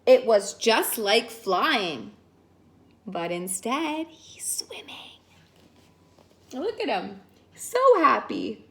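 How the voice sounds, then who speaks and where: A woman with a high, very lively voice reads a children's story aloud. She takes her time, stretches out words for effect and chats to her young listeners as a teacher would. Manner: expressive, sing-song, exclaiming, drawn-out words. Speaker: teacher reading a picture book aloud.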